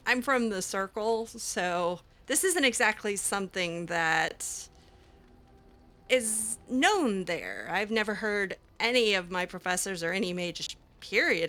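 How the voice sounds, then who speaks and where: A woman's voice: mid-range voice, sharp tone, exaggerated voice. A woman roleplaying a curious young female mage, talking in a hesitant, chatty way full of ums and restarts. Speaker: roleplaying player character. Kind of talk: casual in-character roleplay.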